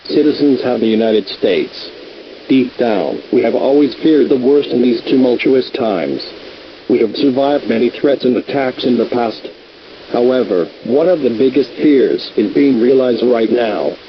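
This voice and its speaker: A man acts as a grave president of the United States in an emergency drama. He speaks in formal, measured oratory at a middling pitch. The broadcast sounds dull and lo-fi, with a faint hiss behind him. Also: natural voice. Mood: grave, resolute. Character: solemn male US president addressing the nation under attack. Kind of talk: formal scripted emergency address.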